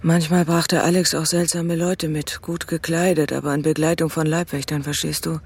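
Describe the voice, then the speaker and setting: Troubled widow, low husky female voice, creaky edges, subdued and hesitant, naturalistic radio drama dialogue. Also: flat delivery, normal speed, a little mellow. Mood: troubled, pensive. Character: troubled widowed restaurant owner, a woman confiding in her cousin.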